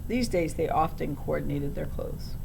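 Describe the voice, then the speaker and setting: A woman's voice: normal speed, vocal fry. A woman reading a children's storybook aloud as narrator. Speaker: audiobook reader. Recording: low rumbling background noise.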